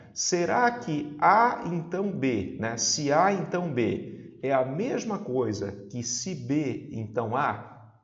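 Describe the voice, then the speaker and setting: A man with a southern Brazilian accent teaching an informal exam-prep lesson, chatty and didactic, often checking that listeners follow. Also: mid-range voice. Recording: roomy echo, slightly dull sound.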